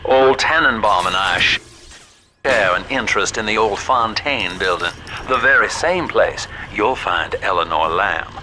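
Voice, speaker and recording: An actor playing a smooth-talking, roguish businessman with a Southern US accent, folksy and wry, over a radio in a video game. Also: on the low side, lively intonation, a little slow, noisy background. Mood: sly, genial.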